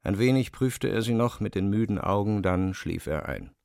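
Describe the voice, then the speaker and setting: A man's voice: lowish voice, expressive, normal speed, creaky, darkish tone, clear, resonant tone, no echo, studio quality. A man narrating a scripted literary radio drama as its storyteller. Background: faint music.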